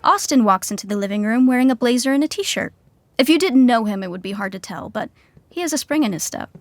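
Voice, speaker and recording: A woman narrating a scripted sitcom in a wry, deadpan, tongue-in-cheek way. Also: a little high, fast talker.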